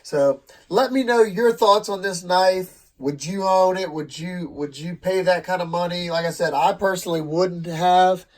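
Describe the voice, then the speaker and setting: A man's voice: high voice, a little slow, hardly any pauses. A man with a congested, nasal voice casually reviewing a knife in a vlog, rambling a bit and talking straight to his viewers. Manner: rambling, loose, self-correcting, speaks to viewers directly.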